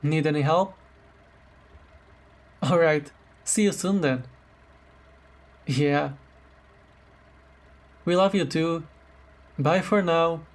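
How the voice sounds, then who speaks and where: A man playing a tender, caring boyfriend in a romantic roleplay audio drama, speaking gently and affectionately. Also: a little high, extremely slow, pauses often, slight background noise. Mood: cheerful, fond. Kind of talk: romantic roleplay audio drama, intimate one-sided dialogue.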